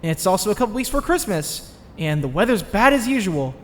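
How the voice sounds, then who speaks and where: A man's voice: high voice, big pitch swings, brisk, in a large hall, slight background noise. A man playing an earnest, nerdy, anxious teenage boy in a dramatized comic dub. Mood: thoughtful, uneasy. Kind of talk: dramatized comic dub, dialogue and first-person narration.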